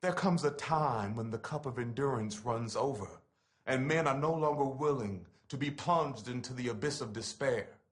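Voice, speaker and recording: A man's voice: mid-range voice, normal speed. A man giving a dramatic recitation of a written letter in an oratorical, impassioned manner with emphatic phrasing.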